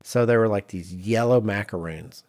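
A man co-hosting a podcast in casual banter, telling anecdotes in an animated way and acting out quotes. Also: lowish voice, normal speed. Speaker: podcast co-host.

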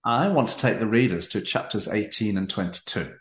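Male book-show host, lowish voice, deliberate presenting and reading style, echoey room. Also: a bit bright. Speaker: podcast host. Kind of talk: book interview and reading aloud. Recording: roomy, echoey sound.

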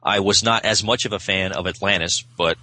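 A man with a low-pitched voice and a bright, forward edge. He chats casually as a podcast guest, often rephrasing as he goes. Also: fairly quick. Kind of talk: casual chat.

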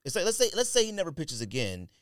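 A man's voice: a little high, wildly swooping pitch, fast, no echo, studio quality. A man co-hosting a casual sports podcast, talking emphatically in slang and repeating himself to press a point. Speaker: podcast co-host.

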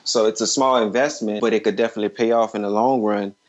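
Low-voiced man on a muffled call. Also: steady tone, no echo. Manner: halting, repeats words as he goes. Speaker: interview guest, screenwriting teacher. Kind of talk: casual, explanatory interview talk. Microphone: remote call. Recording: dull lo-fi call audio.

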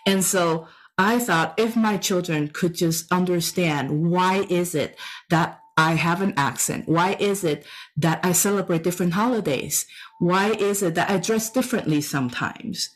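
Woman, middle-aged, Taiwanese accent, native Chinese speaker, lowish pitch, personal storytelling, emphatic repetition. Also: measured pace. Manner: emphatic, repeats phrases for effect. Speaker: storyteller giving a personal talk.

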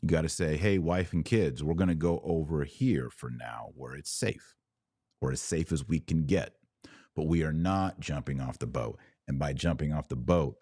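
An American man in middle age speaks in a very deep bass register. He delivers religious commentary like a podcast host, emphatic and preachy but with folksy, conversational phrasing. The sound is dry and close to the microphone. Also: a little mellow, no room sound. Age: middle-aged.

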